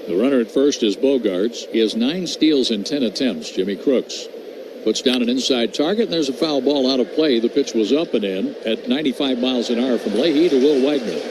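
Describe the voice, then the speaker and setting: A man's voice: mid-range voice, brisk. Man calling baseball over noisy ballpark ambience. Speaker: play-by-play radio announcer. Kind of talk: live baseball radio commentary. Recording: clear background noise at ballpark.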